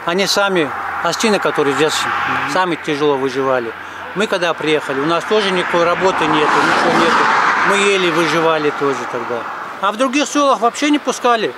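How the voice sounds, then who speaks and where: A middle-aged man speaks outdoors in a mountain village, telling his story plainly and circling back to repeat points. His voice sits a little high for a man. Steady traffic and outdoor noise run clearly under him. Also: quick pace. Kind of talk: casual outdoor interview.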